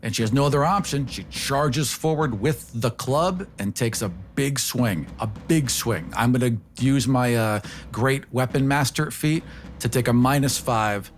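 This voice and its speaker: A man's voice: mid-range voice, unhurried, slight background noise. A man playing a tabletop game, casually describing his character's moves and rolls. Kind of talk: casual tabletop role-play chat.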